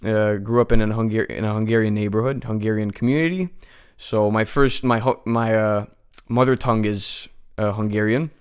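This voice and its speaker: A man's voice: lowish voice, fairly even intonation, normal speed, darkish tone, clear, resonant tone. A nineteen-year-old man from the United States, a guest chatting casually in a radio studio, with lots of fillers and restarts. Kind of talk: casual interview chat.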